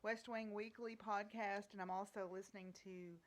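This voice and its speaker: A woman's voice: medium pitch, fairly even intonation, normal speed. A woman chatting casually in a home vlog, rambling along with plenty of fillers. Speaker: vlogger.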